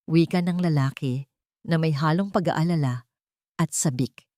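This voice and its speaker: A woman's voice: deep voice, measured pace, mellow, no echo, clean studio sound. A woman storyteller reading a scripted romantic drama in a solemn, sentimental manner. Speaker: storyteller, narrator.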